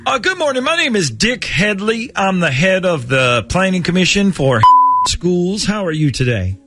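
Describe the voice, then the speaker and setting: A man playing an earnest, clueless school planning official on a prank phone call, polite, hesitant and deadpan. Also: sits high, normal speed, full character voice. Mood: polite, upbeat. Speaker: radio show prank caller.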